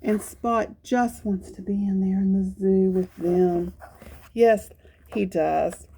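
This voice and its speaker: A woman's voice: medium pitch, slow, vocal fry, husky. A woman hosting a children's storytime, reading a picture book aloud with chatty, exclaiming asides and repeated phrases. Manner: animated, exclaiming, repeats phrases to young listeners.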